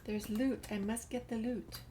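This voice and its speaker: A woman's voice: on the high side, steady tone, a little slow, mellow, slight background noise. A woman giving casual video game commentary, chatty and musing to herself as she plays. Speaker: gamer, channel host. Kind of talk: casual let's play commentary.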